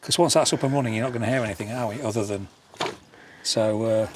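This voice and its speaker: A man's voice: on the low side, fast. Joking man chatting over outdoor noise. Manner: joking, teasing banter. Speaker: vlog host. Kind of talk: casual outdoor vlog chat. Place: outdoors on a rural island. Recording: clear outdoor background noise.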